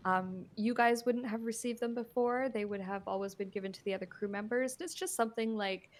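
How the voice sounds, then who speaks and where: A woman narrating a tabletop game as game master, describing scenes in a halting way with many fillers. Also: on the high side, steady tone.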